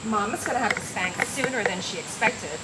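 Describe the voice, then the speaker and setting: A woman's voice: medium pitch, expressive, a little fast, natural voice. An actress playing a menacing, sardonic gangster woman who mocks and taunts, in an old-time radio crime drama. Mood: menacing, mocking. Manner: mocking, coolly taunting. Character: menacing, sardonic female gangster moll ordering thugs around. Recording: very noisy old radio recording.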